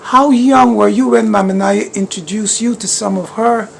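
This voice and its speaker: A woman interviewing a guest, asking slow, encouraging questions. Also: medium pitch, steady tone, creaky, slight background noise.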